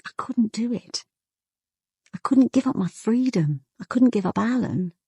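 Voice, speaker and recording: Young female detective, English accent, dark husky tone, intimate weary narration, radio drama. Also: a little high, lively intonation, a little slow, no room sound, clean studio sound, heavily acted. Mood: strained, resentful. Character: young female police inspector narrating her private life.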